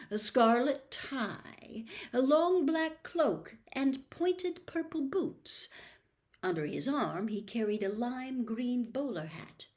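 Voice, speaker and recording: A woman reading a children's novel aloud as an expressive storybook narrator. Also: fairly high pitch. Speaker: storybook reader.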